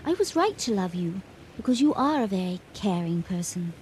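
An actress as a wistful, soft-toned young romantic woman. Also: mid-range voice, sing-song, moderate pace, lightly acted. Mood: earnest, resigned. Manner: wistful, hesitant, sincere. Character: lovestruck, romantic young female student, earnest and wistful. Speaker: radio drama actress. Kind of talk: 1980s radio drama acting. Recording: noisy vintage radio drama recording.

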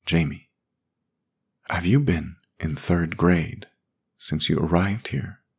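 A man reading a calm, firm grown woman. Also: sits low, takes it slowly, husky, exaggerated voice. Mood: probing. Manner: steady and gently insistent. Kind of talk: audiobook character voice.

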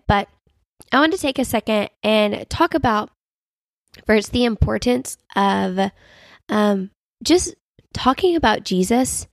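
Young woman, lilt, earnest podcast host, husky from a sinus infection, bits of vocal fry, dead-dry sound. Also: medium pitch, dry recording. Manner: chatty, earnest, lots of fillers like "um" and "like". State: recovering from a sinus infection, voice cutting in and out. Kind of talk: casual devotional podcast talk.

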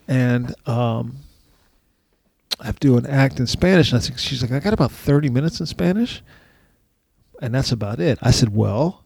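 Gravelly man telling a drawn-out anecdote. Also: mid-range voice, darkish tone. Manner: gravelly, drawling anecdotes with asides. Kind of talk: casual chat.

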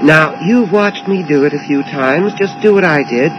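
A man acting as a sinister dentist in a radio suspense play. He is callous and genteel, soothing in a condescending way while sounding quietly menacing. The sound is dull, with faint noise behind it. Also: a little high, moderate pace, close to an everyday voice. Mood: calm, patronising. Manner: genteel, matter-of-fact menace with condescending reassurance. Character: sinister, smoothly callous male dentist and mad scientist. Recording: dull, noisy old radio drama recording.

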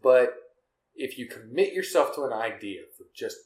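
A man's voice: mid-range voice, moderate pace, echoey room. A man in his thirties hosting a casual comics chat show, talking in an earnest, motivational way. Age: 30s. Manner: earnest, motivational, speeds up when excited. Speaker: podcast host.

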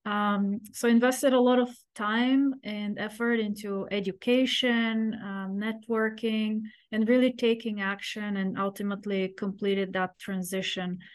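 A middle-aged woman from Bulgaria, a podcast guest answering questions in an articulate, measured way with frequent pauses. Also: mid-range voice, fairly even intonation. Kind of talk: interview answers.

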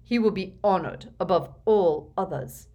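Storybook role: controlled, cold male spy , performed by a woman narrator, measured and flat, with slow, quiet authority. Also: lowish voice, lo-fi sound. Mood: flat, stern.

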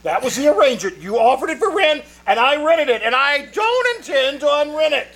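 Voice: high-pitched, big pitch swings, brisk, heavy background noise. An actor playing a fussy, stubborn male rental agent in old-time radio comedy, whiny and put-upon.

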